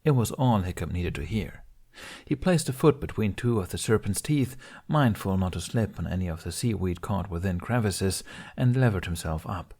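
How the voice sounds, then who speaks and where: A man's voice: low voice, animated, moderate pace, warm tone. A man narrating a scripted fantasy audiobook in a dramatic storytelling style.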